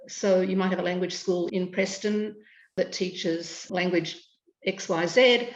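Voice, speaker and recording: Older Australian woman on an echoey video call. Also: lowish voice. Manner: measured, explanatory, informative. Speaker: educator and curriculum consultant. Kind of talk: video call interview answers. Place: home room, indoors. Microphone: webcam on a video call. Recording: echoey room over a dull video call.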